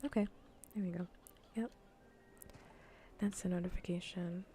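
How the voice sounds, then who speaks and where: A woman streamer chatting casually in a soft, breathy ASMR voice that often drifts into whispers, relaxed and rambling, close to the mic. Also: on the low side, measured pace, soft background music. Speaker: livestreamer hosting a cozy gaming stream. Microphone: close ASMR microphone.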